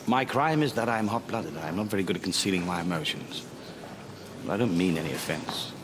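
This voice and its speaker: An actor playing a hot-blooded, idealistic composer in a period drama, blunt and impassioned, sometimes sardonic or tender. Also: male voice, deep voice, lively intonation, a little fast, background noise. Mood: apologetic. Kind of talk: period television drama acting.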